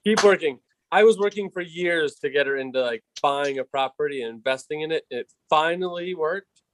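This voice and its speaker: A man's voice: fairly high pitch, normal speed, a bit bright, slight background noise, rough recording. A man in his thirties co-hosting a podcast, joking in an easygoing way. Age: 30s. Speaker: podcast co-host. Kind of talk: casual chat.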